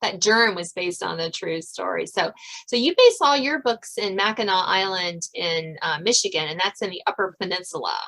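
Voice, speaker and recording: An American woman hosting a casual interview about writing, talking in an animated, conversational way. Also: lowish voice, moderate pace, rough recording. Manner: animated, conversational, quotes others' reactions in dialogue. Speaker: podcast host and suspense novelist. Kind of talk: casual author interview chat.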